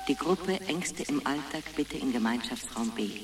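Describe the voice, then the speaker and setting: A man acting as an impersonal clinic announcer in a radio play. He sounds far from the microphone, at a medium pitch, with faint musical chiming around the call. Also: lively intonation, normal speed, faint music behind, lightly acted. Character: impersonal clinic announcer calling out over intercom. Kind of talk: radio drama public announcement.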